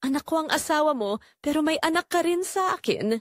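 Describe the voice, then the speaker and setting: A woman's voice: high-pitched, expressive, a little fast, no echo, studio quality, voice pushed up. A woman with a Filipino accent voicing a bitter, pleading mother in an audio drama. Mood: bitter, shouting.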